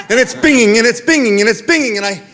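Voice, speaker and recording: A middle-aged man, telling a stand-up story on a theater stage and acting out the dialogue in quick back-and-forth. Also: very high voice. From Queens, New York. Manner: animated, acts out dialogue in quick exchanges. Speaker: stand-up comedian.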